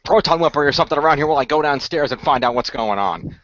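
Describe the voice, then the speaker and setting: A man with a fairly high voice talks very quickly as he improvises in a role play. He takes charge in an exasperated, casual way, and the audio is a bit muffled. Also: lively intonation, fairly bright tone. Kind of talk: improvised in-character dialogue, casual.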